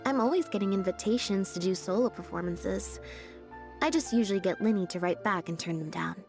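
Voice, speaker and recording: A woman voicing a laid-back, deadpan young stage magician's assistant in a video game, speaking in an unhurried, matter-of-fact way. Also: medium pitch, lightly acted. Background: game background music.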